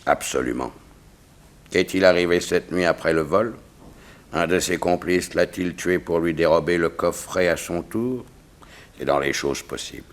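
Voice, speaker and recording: Grave foreign dignitary, very low voice, measured formal phrasing, vintage French radio drama. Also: male voice. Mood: solemn, confiding. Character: grave, dignified Siamese diplomat confiding state secrets. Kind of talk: 1960s French radio mystery serial acting.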